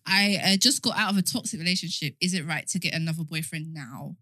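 A woman with a voice a touch on the low side co-hosts a podcast. She talks in playful, informal banter. The recording is dry, without room sound. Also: fast, bright, forceful tone, no room sound. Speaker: podcast co-host. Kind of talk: casual banter, reading listener questions.

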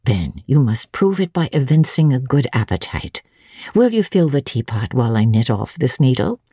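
Woman playing elderly genteel housekeeper, motherly, chatty, low pitch, soft-grained husky tone, audiobook drama. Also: big pitch swings, normal speed, no echo, studio quality, heavily acted. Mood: kindly concern. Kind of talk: dramatic audiobook character reading.

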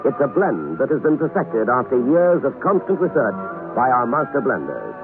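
Animated male radio ad announcer. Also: medium pitch, normal speed, phone-quality sound. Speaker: advert announcer. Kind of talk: 1960s radio cigarette commercial read. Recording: thin old radio, music behind.

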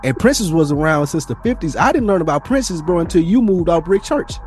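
A man's voice: on the high side, expressive, fairly quick, darkish tone, soft background music. A man with a Southern US accent chatting casually on a podcast, slangy and repeating his points for emphasis. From Nashville, USA. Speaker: podcast speaker. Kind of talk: casual chat.

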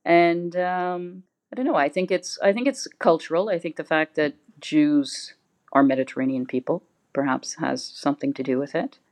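A woman's voice: low-pitched, moderate pace, a little mellow. A middle-aged woman from Canada, a guest in an interview, answering thoughtfully and hesitantly with many fillers. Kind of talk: conversational interview.

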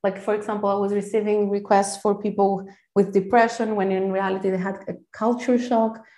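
A woman whose first language is Greek, a guest speaking quickly and eagerly in a podcast interview, often restarting her phrases. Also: mid-range voice, flat delivery, dark tone, roomy sound. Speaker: guest, founder of a counselling service.

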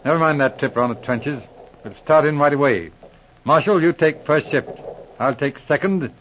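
An actor playing a brisk, courteous police inspector in a 1940s radio drama, speaking with clipped authority. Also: male voice, a little high, a bit bright, close to an everyday voice. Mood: businesslike. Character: brisk, courteous male Mountie inspector on wartime service. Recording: muffled, noisy old radio recording.

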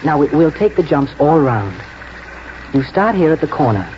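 A man playing an earnest, protective young horse trainer in a 1940s radio drama, urgent and halting as he instructs. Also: a little high, big pitch swings. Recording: muffled, noisy old 1940s radio broadcast.

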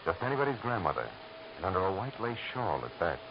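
An actor playing a hard-boiled private eye with dry, sardonic wisecracks, narrating and talking in 1940s radio acting. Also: male voice, low voice, big pitch swings, quick pace. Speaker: narrator and detective. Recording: hissy dull old radio broadcast.

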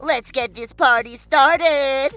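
A woman voicing a silly, naive, excitable childlike sidekick with a goofy, exaggerated manner in a children's audio comedy. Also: sits high, slow pace, no room sound, faint music behind. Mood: excited, puzzled.

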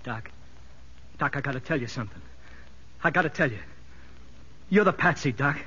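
An actor playing a desperate, homesick convict in a 1950s radio drama, halting and anguished. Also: male voice, medium pitch, animated, sharp tone, breathy edge. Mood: frantic, pleading. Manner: halting, anguished, repeating himself. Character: desperate, homesick male convict pleading under hypnosis. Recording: dull, muffled vintage radio recording.

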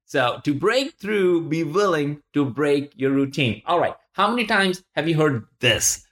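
A male podcast host with a voice pitched a little high for a man. He speaks conversationally and with enthusiasm, in a motivational style. He stresses points by repeating words and often turns to the listener with a question. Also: animated, unhurried, a bit bright. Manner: poses rhetorical questions to the listener.

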